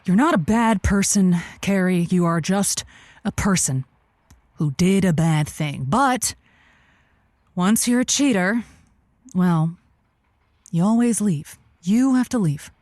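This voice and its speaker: A woman's voice: mid-range voice, expressive, takes it slowly, long pauses, darkish tone, no echo, clean studio sound. A woman playing a flustered, rambling radio advice host who stammers earnestly and gives grand, sentimental counsel in an improvised audio drama. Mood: sagely reassuring.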